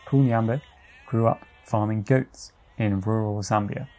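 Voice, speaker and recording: A man's voice: lowish voice, steady tone, unhurried, warm tone. A man hosting a podcast, moving between scripted introductions and interview questions. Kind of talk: scripted narration and friendly interview.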